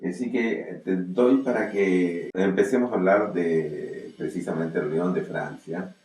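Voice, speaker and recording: Deep-voiced Argentine man, heavy room echo. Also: a little slow, a little mellow. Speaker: interviewer, presenter. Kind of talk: spoken introduction of a guest's books. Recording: very echoey room.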